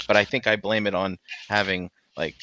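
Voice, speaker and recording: A man's voice: lowish voice, little pitch movement, fairly quick, sharp tone. A man co-hosting a podcast, telling laid-back stories and swearing casually. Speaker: podcast co-host. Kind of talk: casual chat. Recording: dull lo-fi sound.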